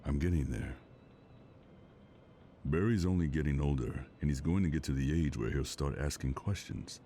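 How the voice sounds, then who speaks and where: Calm devoted partner and dad, audio drama, super deep dark voice, reassuring. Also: male voice, normal speed, a little hiss or hum. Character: steady, devoted husband-like partner and secret father. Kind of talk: intimate audio drama acting.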